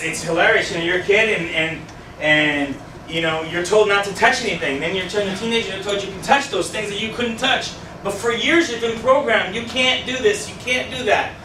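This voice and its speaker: A man's voice: sits high, background noise. A man in his thirties, a youth pastor with a stuffed-up nose, talking casually to a youth group and asking it questions. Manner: conversational, asks the audience questions, gestures widely. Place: small meeting room with a youth group. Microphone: far camera, no mic. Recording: echoey room from a distant camera.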